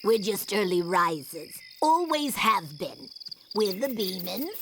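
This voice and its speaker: A woman's voice: medium pitch, sing-song, slow pace, background noise. An actress playing a doting older bee neighbour in a cartoon video game, motherly and sweet.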